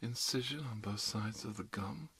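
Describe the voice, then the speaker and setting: A man playing a calm, reassuring dentist in an ASMR roleplay, speaking softly and often slipping into whispers. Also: on the low side, normal speed. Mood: gentle, focused. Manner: soft, often dropping into whispers, soothing. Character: calm reassuring dentist treating an undead patient. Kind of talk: ASMR roleplay, murmured one-sided dialogue. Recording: clear background noise, clicks.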